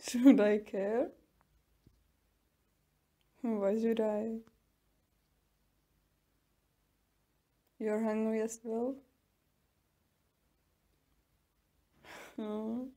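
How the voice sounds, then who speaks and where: A woman playing a smug, taunting tsundere captor in an ASMR roleplay, mocking and teasing with drawn-out pauses. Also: fairly high pitch, little pitch movement, dark tone. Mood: dismissive, taunting. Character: smug, taunting tsundere young woman keeping a captive werewolf.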